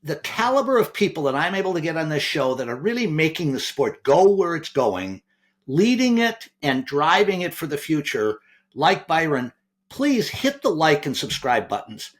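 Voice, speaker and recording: Podcast host, male, animated delivery, slightly high, enthusiastic, restarts his lines. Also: moderate pace. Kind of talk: podcast hosting, asking viewers to subscribe.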